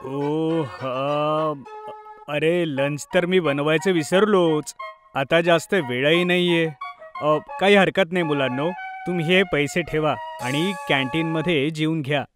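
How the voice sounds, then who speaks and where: A kindly cartoon father acted by a man, gentle and reassuring with his children. His voice sits high for a man, and music plays softly behind it. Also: measured pace. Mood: flustered, reassuring. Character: kindly, apologetic father of two schoolchildren.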